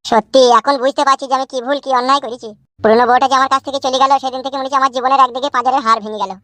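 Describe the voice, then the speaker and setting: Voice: high-pitched, fairly even intonation, racing, bright, forceful tone, no room sound. A performer voicing a hapless, henpecked young village husband in a cartoon comedy, complaining and full of self-pity. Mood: regretful, bitter. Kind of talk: Bengali cartoon comedy dialogue.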